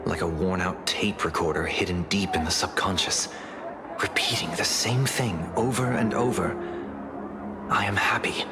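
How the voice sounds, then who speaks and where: An actor playing a composed, perceptive male tuner in a game, speaking in a measured, formal, grave manner. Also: low voice, natural voice. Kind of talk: scripted game dialogue. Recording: music behind the voice.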